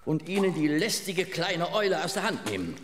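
An actor playing a pompous, sneaky older professor in a children's audio drama, fussy, hesitant and blustering. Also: male voice, on the high side, very animated, moderate pace. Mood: fussy, scheming. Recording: echoey hall, faint noise.

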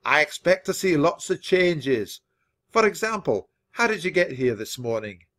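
A tough old miserly grandfather mouse, acted out by a man for a children's audiobook. He hectors and shouts in a lively, swooping, raised pitch, stretching key words for emphasis. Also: unhurried. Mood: stern, exasperated.

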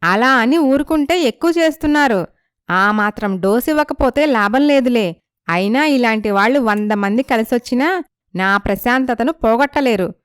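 A woman playing a proud, assertive young woman from a poor family in an animated moral story. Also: a little high, normal speed, clear, resonant tone, no echo, studio quality, close to an everyday voice. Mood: indignant.

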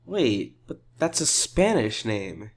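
A man playing a blunt, skeptical, excitable pizza customer in comic roleplay, with an incredulous and theatrical delivery. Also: lowish voice, takes it slowly, full character voice.

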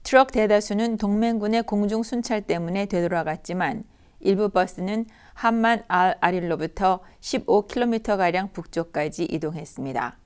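A woman's voice: medium pitch, moderate pace, darkish tone, smooth. A woman news anchor reading scripted news.

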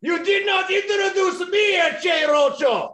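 A man putting on an indignant Latin luchador with a Latin American accent and theatrical broken English, raspy in tone. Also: high-pitched, sing-song, normal speed, fairly bright tone, full character voice. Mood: outraged. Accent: put-on Latin American. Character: indignant, loud Latin luchador show-stealer.

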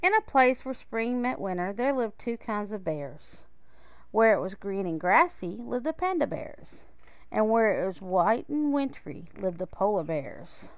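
A woman reading a children's picture book aloud in a measured, expressive way, with pauses. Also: medium pitch, no room sound. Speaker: storybook reader.

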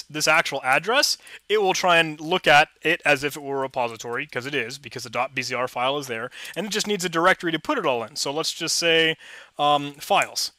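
Young male tech host, bright dry headset sound, upbeat and chatty. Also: a little high, no echo. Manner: chatty, enthusiastic, rambling with restarts. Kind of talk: casual screen-recorded walkthrough.

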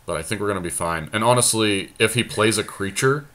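A man's voice: fairly low pitch, a little fast, fairly bright tone. A man giving casual, conversational commentary on a card game he is playing, often self-deprecating. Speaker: podcast host commentating on own card game.

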